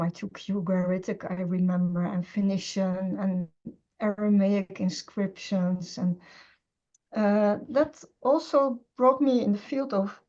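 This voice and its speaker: A woman's voice: medium pitch, darkish tone. Hesitant woman scholar. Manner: hesitant, many fillers and restarts. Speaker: interview guest, scholar of Semitic languages. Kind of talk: conversational interview. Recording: dull lo-fi sound.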